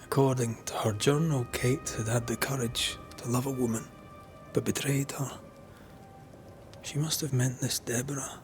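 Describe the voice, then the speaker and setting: An actor plays a gentle, devoted man who hunts ghosts in a dramatic video game. He sounds thoughtful and earnest, with a measured, middle-pitched voice. Clear music runs underneath. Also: steady tone, close to an everyday voice. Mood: pensive.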